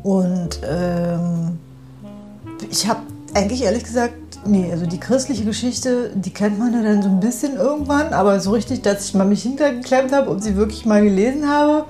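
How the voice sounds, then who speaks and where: A woman's voice: mid-range voice, normal speed, mellow, soft background music. A woman in a casual, spontaneous chat, thinking aloud as she goes and laughing easily. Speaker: conversation partner in a private audio piece.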